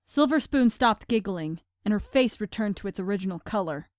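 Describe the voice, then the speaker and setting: A woman's voice: medium pitch, expressive, normal speed, soft background music. A woman reading scripted storybook narration as the narrator of a fan fiction tale.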